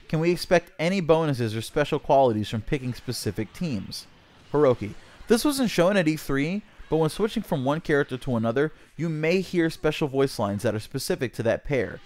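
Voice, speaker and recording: A man's voice: on the high side, darkish tone. Man narrating gaming news from a script. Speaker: gaming news channel host. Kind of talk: scripted narration, reading an interview.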